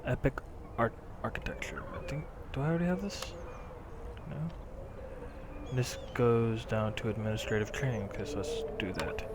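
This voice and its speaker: A man's voice: mid-range voice, lively intonation, normal speed, heavy background noise. A young man hosting a gaming channel, casually thinking aloud as he plays. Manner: musing, thinking aloud while clicking. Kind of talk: casual gameplay commentary. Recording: game music behind.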